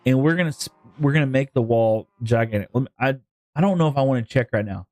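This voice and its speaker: A man's voice: mid-range voice, fast talker, a little mellow, no echo. A man with a Texan accent chatting to his viewers on a live gaming stream, rambling and joking as he plays. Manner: rambling, jokey, talks to chat.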